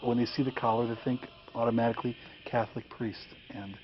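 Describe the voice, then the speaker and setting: A man with a medium-pitched voice talks conversationally as a clergyman being interviewed. The recording sounds dull and lo-fi. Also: steady tone, brisk, slight background noise.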